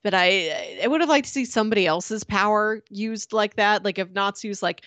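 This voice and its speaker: A woman co-hosting a casual book discussion podcast, chatty and quick to laugh, often slipping into playful quoted lines. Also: medium pitch, a bit bright, no echo. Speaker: podcast co-host.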